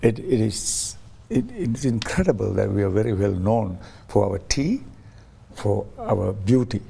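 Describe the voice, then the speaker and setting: A man's voice: fairly low pitch, expressive, measured pace, vocal fry, dark tone, slight background noise. A man interviewed as a guest on a talk show, explaining his ideas through stories and acting out quoted voices, with frequent restarts. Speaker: guest, science ambassador.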